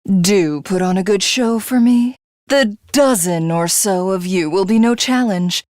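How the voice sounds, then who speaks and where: Video game villainess, woman actor: pompous would-be goddess, formal and theatrical, unhurried pace, very dry recording. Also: mid-range voice, a little mellow, no room sound, close to an everyday voice. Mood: smug, imperious. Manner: pompous, formal, grandiose, condescending. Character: haughty female alien warlord craving godhood.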